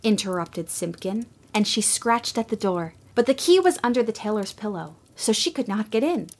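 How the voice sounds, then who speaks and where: A woman narrating a Christmas storybook in a gentle, expressive storytelling manner. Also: medium pitch, normal speed, a little hiss or hum. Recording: music behind the voice.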